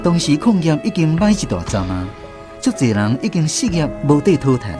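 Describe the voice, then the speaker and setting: A man's voice: a little high, big pitch swings, moderate pace, over music. A man narrating a radio drama in the first person, reminiscing like a storyteller with a Taiwanese accent.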